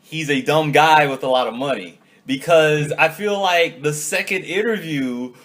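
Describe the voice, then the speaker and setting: A man hosting a casual podcast, talking in a relaxed, loose way with lots of fillers. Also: fairly high pitch, unhurried. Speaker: podcast host. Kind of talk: casual chat.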